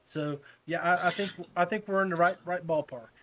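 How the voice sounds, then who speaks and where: A man's voice: high-pitched, fairly quick, a bit bright. A man hosting a fishing podcast in casual chat, talking informally like a buddy. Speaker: podcast host.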